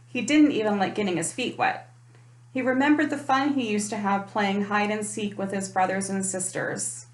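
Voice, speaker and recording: A woman's voice: medium pitch, normal speed. A woman reading a children's picture book aloud in a storytime style. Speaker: storytime reader, parent. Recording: echoey room.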